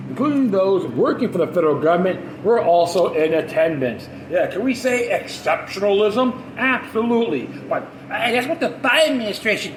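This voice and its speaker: A man hosting a podcast, reading news articles aloud with sarcastic asides and stumbling over words. He has a high-pitched voice. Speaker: podcast host. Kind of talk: ranting commentary while reading news articles. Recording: echoey room with background noise.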